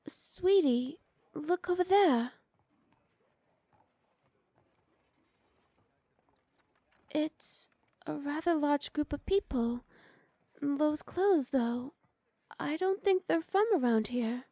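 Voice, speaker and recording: A woman's voice: sits high, warm tone, dry recording. A woman playing a doting, teasing young noblewoman wife in an intimate ASMR roleplay, sweet and coaxing. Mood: curious, gentle. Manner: sweet, coaxing, playfully scolding.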